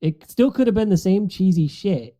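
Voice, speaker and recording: Male co-host with an extremely soft, dark tone, measured and casual in panel discussion. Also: high voice, animated. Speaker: podcast co-host.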